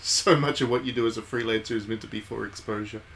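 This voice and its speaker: A man's voice: on the low side, steady tone, fast, a bit bright, slight background noise. A man giving casual, wry live commentary as he streams a visual novel. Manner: wry, jokey asides. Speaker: game streamer.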